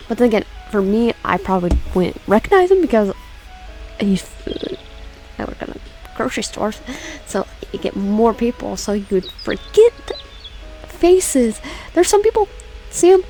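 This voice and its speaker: A woman's voice: mid-range voice, a little mellow, rough recording. A woman casually reading a visual novel game aloud with personal asides, often stumbling over words and repeating phrases. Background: background music.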